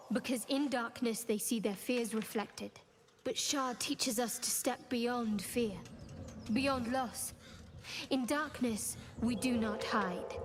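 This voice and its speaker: A devout, guarded young cleric in a fantasy video game. Her voice sits a little high and moves slowly and solemnly, as if keeping secrets. There is faint noise behind her. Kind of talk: video game dramatic dialogue.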